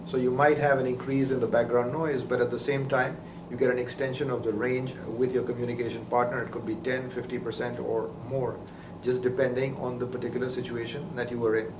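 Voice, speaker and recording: A man's voice: medium pitch, flat delivery, brisk. A man presenting a methodical, explanatory product demonstration in an unscripted instructional style. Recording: roomy, distant mic with clear background noise.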